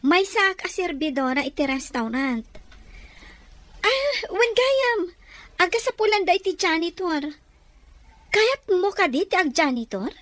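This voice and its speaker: A woman's voice: very high voice, very animated, unhurried, long pauses, fairly bright tone, no echo, full character voice. A woman playing a nosy, teasing young village woman who pries with question after question in an audio drama. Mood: curious. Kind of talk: Ilocano audio drama dialogue.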